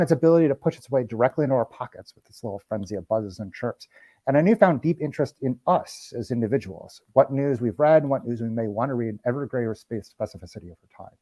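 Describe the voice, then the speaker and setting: Guy moderating a webinar, quick delivery, dry close sound, reads prepared remarks. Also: medium pitch, warm tone, dry recording.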